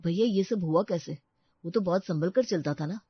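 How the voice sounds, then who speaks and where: A hot-headed mourning village uncle played by a voice actor in a cartoon story. The voice is husky and indignant. It is recorded dry, with a slightly dull sound. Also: mid-range voice, normal speed, dry recording. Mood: sorrowful. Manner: indignant, challenging. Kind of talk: Hindi cartoon story voice acting.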